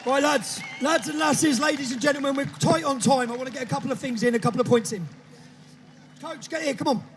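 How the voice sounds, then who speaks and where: A man emceeing an outdoor rally, shouting brisk announcements to the crowd. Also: very high-pitched, a little hiss or hum. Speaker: rally compere.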